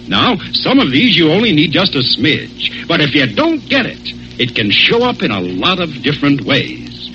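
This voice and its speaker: Swooping 1940s American radio announcer man. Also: on the high side. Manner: emphatic, folksy persuasion with repetition. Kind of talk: 1940s radio sponsor pitch and narration. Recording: muffled 1940s radio recording.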